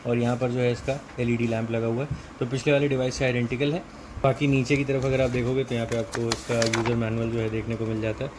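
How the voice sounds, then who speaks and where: A man's voice: mid-range voice, flat delivery, fast talker, noisy background. A man with an Indian accent giving a casual, explanatory product walkthrough, mixing in English technical terms. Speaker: product reviewer.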